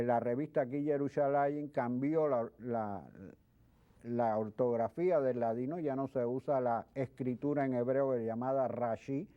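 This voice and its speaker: A man speaking as a guest expert in an interview, explaining things in a halting way with repeated words. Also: on the high side, clean tone. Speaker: guest expert, history professor. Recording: dull lo-fi sound.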